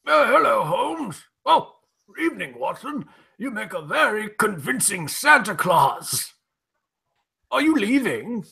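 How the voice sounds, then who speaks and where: A man playing a well-off, earnest and troubled lord with a raspy voice in an old-time radio drama spoof. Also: sits high, sing-song, slow pace, pushed and bright, full character voice. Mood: troubled, sociable.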